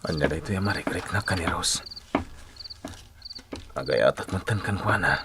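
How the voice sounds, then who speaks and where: A man's voice: deep voice, moderate pace, heavy background noise. An actor with an Ilocano Filipino accent playing a bashful young man secretly in love, in radio drama style. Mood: wistful musing.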